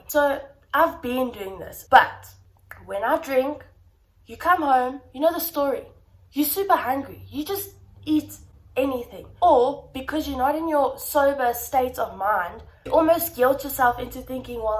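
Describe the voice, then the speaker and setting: Young woman vlogger, somewhat high voice, stuffy and nasal, casual and upbeat with viewers. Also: poor audio. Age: young adult. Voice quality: slightly blocked-up nasal voice. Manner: chatty and encouraging, addresses viewers directly. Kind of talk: casual talk to camera.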